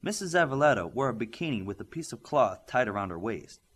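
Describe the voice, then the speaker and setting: A man's voice: medium pitch, very animated, fast talker, fairly bright tone. A man reading scripted story narration, as an audiobook reader does.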